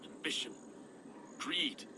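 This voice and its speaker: A man playing a devout, earnest medieval official in a game cutscene, speaking solemnly in deliberate, weighty phrases. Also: background noise, lightly acted. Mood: troubled. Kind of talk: dramatic video game cutscene acting.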